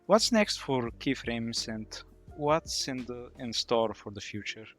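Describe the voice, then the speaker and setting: A podcast co-host putting questions to guests in a halting manner. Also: mid-range voice, lively intonation, fairly bright tone, soft background music. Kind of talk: interview questioning.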